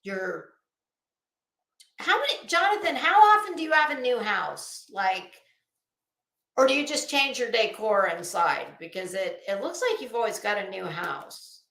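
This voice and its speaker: Female online commentator, mocking and halting, very unhurried pace, roomy echoing sound. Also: mid-range voice, big pitch swings, vocal fry, bright, forceful tone. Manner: sarcastic, mocking. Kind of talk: rambling, casual live-stream commentary.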